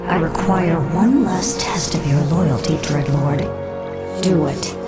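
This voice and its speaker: A cold, commanding undead queen in a fantasy game. Her voice is somewhat low and imperious, with a ruthless edge. Loud music plays underneath. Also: takes it slowly.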